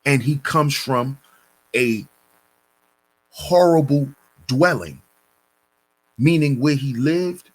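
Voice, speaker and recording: A man hosting a commentary channel, reading a news story and lecturing on it in a deliberate, preachy way, calling his listeners brothers. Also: medium pitch, breathy edge, poor audio.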